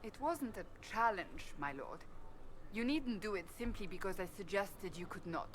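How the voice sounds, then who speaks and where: A woman voicing a proud, earnest warrior woman with a secret romantic side, speaking formally and deliberately in dramatic game dialogue. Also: medium pitch, expressive, slight background noise, natural voice. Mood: guarded.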